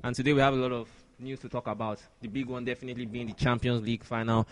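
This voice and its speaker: Fast-talking man hosting a sports podcast. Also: mid-range voice, level tone, no echo. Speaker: podcast host. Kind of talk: sports discussion and commentary.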